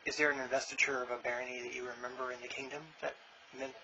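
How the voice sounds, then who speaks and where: A man interviewing an older member of a reenactment society, asking brisk, encouraging questions. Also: mid-range voice, level tone, pushed and bright. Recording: dull sound with faint noise.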